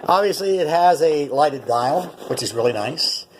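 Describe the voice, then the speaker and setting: A man's voice: fairly high pitch, lively intonation, normal speed, very noisy. A North American man giving a casual, unscripted product demonstration, thinking aloud in a plain-spoken, conversational way. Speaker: presenter demonstrating a vintage radio.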